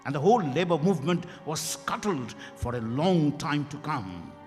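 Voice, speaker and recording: Stern interrogating doctor played by a man, emphatic and animated, stage reading in a reverberant hall with music behind. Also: fairly high pitch, normal speed. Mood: angry. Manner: emphatic, relentless, cross-examining. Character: stern accusing male doctor interrogating Mister Y.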